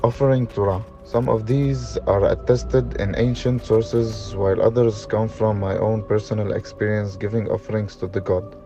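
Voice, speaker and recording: A man reading scripted documentary narration in a halting way, with stumbles, on a dull lo-fi recording. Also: on the low side, steady tone, music in the background. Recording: dull, lo-fi sound.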